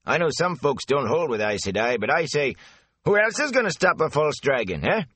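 A man voicing a gossipy, rambling rustic gatekeeper with folksy country speech, high and lively. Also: normal speed, slightly rough, exaggerated voice. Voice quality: folksy dialect, rambling and eager.

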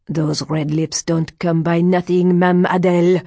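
Woman voicing a slow, superstitious old fortune-teller man. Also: deep voice, steady tone, warm tone, dry recording, clean studio sound, exaggerated voice. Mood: excited, gloating. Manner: slow, exclamatory, foreboding, with muttered asides. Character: withered, superstitious old male fortune-teller, sly and ominous. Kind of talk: audiobook character dialogue.

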